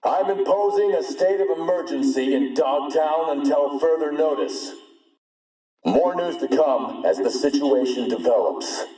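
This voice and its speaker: A man playing a ruthless, middle-aged militia warlord in a video game, with a rough husky voice and a commanding, threatening manner. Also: on the high side, unhurried, mellow, dry recording, poor audio. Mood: official, commanding. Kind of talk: scripted video game character lines.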